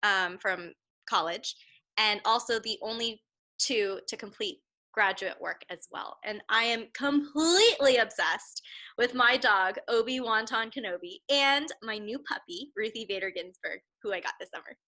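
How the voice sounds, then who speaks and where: A young woman introduces herself informally as a teacher. Her voice is very bright and a little higher than average. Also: measured pace. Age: young adult. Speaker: second grade teacher.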